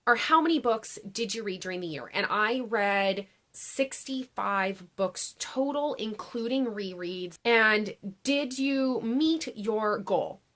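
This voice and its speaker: A woman hosting her own book channel, chatting casually to camera with a raspy, congested voice after a long day of filming, with frequent restarts and "like"s. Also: mid-range voice, lively intonation, slow, hardly any pauses, fairly bright tone. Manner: chatty, restarts sentences, many "like"s. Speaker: BookTube host. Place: home filming setup beside a laptop.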